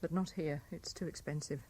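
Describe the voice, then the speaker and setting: A woman's voice: low voice, steady tone, normal speed, mellow, slightly rough. An actress playing a weary, coolly sceptical young Frenchwoman, polite and reserved, in 1970s radio drama style. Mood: sceptical, resigned.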